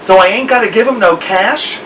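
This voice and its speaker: Indignant teenage big brother acted by a man, slangy storybook dialogue, highish voice, loud background hiss. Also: normal speed. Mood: grudging. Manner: whiny, slangy protesting and bossing. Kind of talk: storybook read-aloud dialogue. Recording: muffled lo-fi, distant mic, loud hiss.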